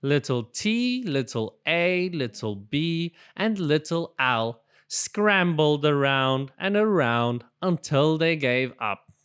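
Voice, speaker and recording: A storybook reader narrating for young children in an expressive, deliberate way. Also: mid-range voice, clean tone. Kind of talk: animated children's read-aloud narration.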